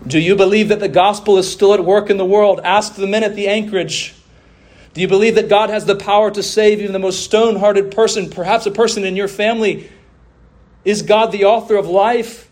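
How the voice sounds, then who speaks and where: A man delivering a sermon as a preacher, with emphatic oratory, deliberate pauses, drawn-out phrases and rhetorical refrains. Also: sits high, fairly even intonation, a little mellow. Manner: rhetorical questions and repeated refrains.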